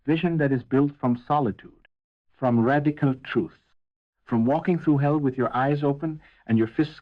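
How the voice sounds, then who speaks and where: A man delivering a scripted motivational speech as a speaker, intense and emphatic, in short punchy phrases. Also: medium pitch. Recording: narrow phone-like band, thin lo-fi sound.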